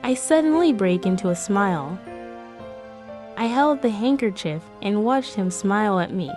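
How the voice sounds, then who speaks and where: A woman's voice: mid-range voice, expressive, normal speed, darkish tone, faint music behind. A woman giving a scripted first-person narration for a romance comic dub.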